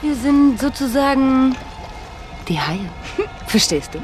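An actress in a German radio drama playing a cool, cruel, smug conspirator who savours the downfall of others. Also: female voice, high voice, sing-song, slow pace, full character voice. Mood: cruelly amused. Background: music behind the voice.

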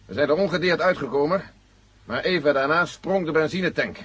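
An actor playing a haughty, composed army general in a radio play, with a husky voice and a curt, condescending way of talking. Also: male voice, on the high side, a little fast, fairly bright tone. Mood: gracious, condescending. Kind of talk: 1970 radio play acting.

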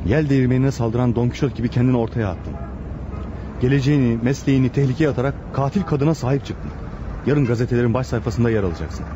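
A man's voice: mid-range voice, quick pace, lightly acted. An actor playing a worried, reproachful man giving warnings in a radio theatre drama. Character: reproachful, worried male acquaintance warning the doctor. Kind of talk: Turkish radio theatre acting. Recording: muffled, noisy lo-fi radio recording.

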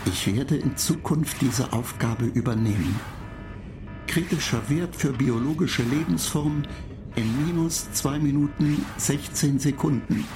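An actor as an impassive, slow-talking spaceship computer. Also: medium pitch, music in the background, natural voice. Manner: clipped, monotone technical announcements. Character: impassive spaceship computer reporting faults and countdowns. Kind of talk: radio play acting.

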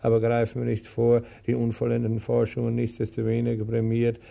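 An actor playing a servant who recites a senseless pseudo-learned monologue in a creaky, repetitive, halting way in a radio play. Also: male voice, medium pitch, completely flat delivery, dark tone. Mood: droning, halting. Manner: halting, repetitive, droning recitation that cannot be interrupted.

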